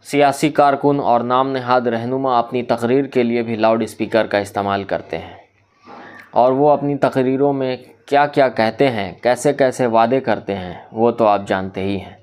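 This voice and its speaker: A man delivering a scripted social commentary in a formal, measured, oratorical manner. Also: mid-range voice, darkish tone, smooth. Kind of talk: scripted reformist monologue in literary Urdu.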